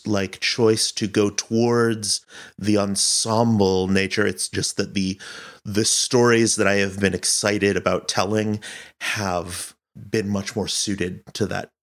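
Male interviewee, lower voice, unhurried and halting, reflective conversational delivery. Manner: halting, thinking aloud in broken phrases. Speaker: podcast director and producer being interviewed.

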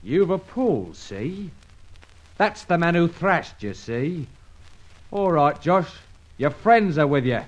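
An actor playing a loyal, slangy working-class sidekick in an old radio drama. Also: male voice, fairly high pitch, big pitch swings, slow. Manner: chirpy, slangy. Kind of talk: 1940s radio acting. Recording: dull old radio recording.

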